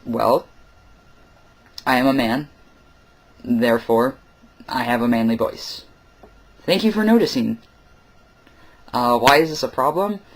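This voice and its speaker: A man's voice: mid-range voice, low-quality recording. A young man talking candidly in a personal vlog, late at night at home, rambling with lots of fillers and wry asides. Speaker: vlogger.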